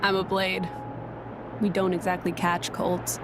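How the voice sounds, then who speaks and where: A woman's voice: on the low side, little pitch movement, unhurried, background noise, natural voice. A woman playing a guarded, blunt young female warrior in a video game cutscene. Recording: loud music over the voice.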